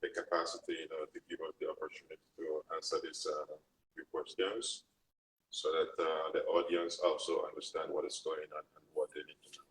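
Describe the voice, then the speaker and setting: A deep, monotone male interviewer poses long questions with constant hesitations. He sounds set back from the microphone, a little distant. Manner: hesitant, many filler pauses.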